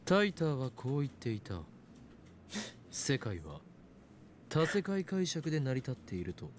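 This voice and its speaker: A man's voice: medium pitch, sing-song, a little fast, slight background noise, close to an everyday voice. A man playing a dramatic, self-styled young mad scientist in a visual novel, theatrical and declamatory. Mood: grave, declarative. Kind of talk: Japanese visual novel voice acting.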